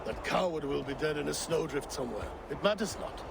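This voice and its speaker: Creaky, boisterous Viking warlord. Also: male voice, fairly high pitch, a little fast, noisy background. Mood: scornful, rousing. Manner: grandiose, declamatory battle talk. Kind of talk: dramatic video game acting.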